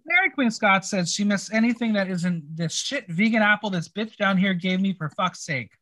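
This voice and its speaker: A man's voice: high voice, animated, normal speed, pushed and bright. A man hosting a casual recap chat, with a dry manner and words repeated for emphasis. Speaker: podcast host recapping a TV episode.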